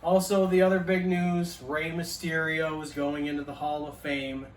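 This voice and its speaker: A man's voice: on the high side, flat delivery, normal speed. A man in his early forties co-hosting a casual chat show, with laid-back, profane banter. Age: 40s. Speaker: podcast co-host. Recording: echoey room, mic at a distance.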